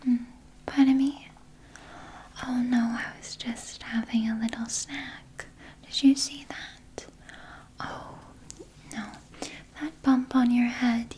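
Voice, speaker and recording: A woman playing a sinister, doting vampire nurse in an ASMR roleplay, speaking in a breathy, coy, soothing whisper. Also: on the high side, mellow, a little hiss or hum. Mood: evasive, coy. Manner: slow, coy, soothing, with murmured asides. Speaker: ASMR performer.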